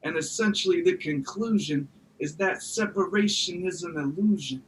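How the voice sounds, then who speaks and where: High-voiced man performing slam poetry. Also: moderate pace, a little hiss or hum, rough recording. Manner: rhythmic, emphatic spoken-word delivery. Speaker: slam poet. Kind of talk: rhyming slam poetry recitation.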